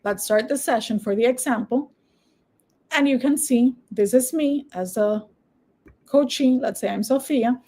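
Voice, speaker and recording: Spanish-accented woman coaching at a brisk pace. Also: fairly high pitch, mellow. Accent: Dominican Spanish. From Dominican Republic. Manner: brisk, persuasive, checks in with "okay?". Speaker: online business coach. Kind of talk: screen-share tutorial and sales pitch.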